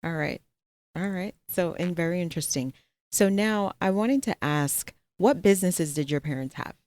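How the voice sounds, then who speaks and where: A woman's voice: fairly low pitch, lively intonation, normal speed, darkish tone, no echo. A woman hosting an interview, asking direct, probing follow-up questions. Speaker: podcast host.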